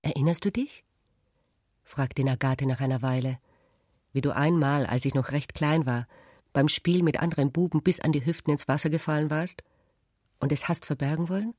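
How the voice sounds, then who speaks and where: A man's voice: a little high, darkish tone, dry recording, studio quality. A man reading literary prose as a radio drama narrator.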